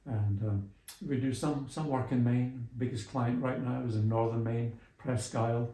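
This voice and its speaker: A man's voice: medium pitch, fairly even intonation, moderate pace, warm tone. A middle-aged or older man being interviewed, explaining things through anecdotes in a conversational, somewhat hesitant way. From Scotland and England. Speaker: interview guest, small business mentor and executive coach. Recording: roomy, echoing sound.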